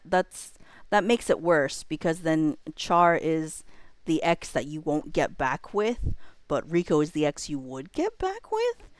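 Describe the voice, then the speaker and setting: A young woman chatting casually on a livestream, thinking out loud and rambling as she weighs her options. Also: sits low, no echo.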